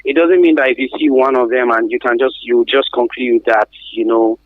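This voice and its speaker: A man's voice: mid-range voice, steady tone, a little fast, a little hiss or hum. Nigerian man on a thin phone-like line. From Africa. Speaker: guest expert on survivors of trafficking. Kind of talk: radio interview, explanatory.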